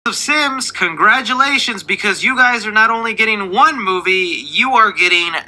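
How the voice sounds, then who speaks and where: A man's voice: high-pitched, expressive, moderate pace, sharp tone, low-quality recording. A man casually hosting movie news commentary in an informal, enthusiastic, conversational way. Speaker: YouTube host.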